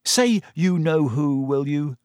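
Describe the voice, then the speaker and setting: A blunt teenage schoolboy, voiced by a man narrating a storybook, with a raised pitch. The character is excitable and emphatic, a loyal friend who says things straight. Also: normal speed, no echo, clean studio sound. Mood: angry. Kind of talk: audiobook character voice.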